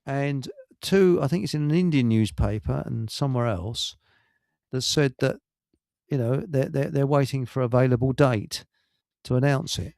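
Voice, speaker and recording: A man's voice: mid-range voice, moderate pace, gravelly, darkish tone, dry recording, studio quality. A man hosting a casual, rambling live radio chat, blunt and grumbling with mild British swearing. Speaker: radio show host.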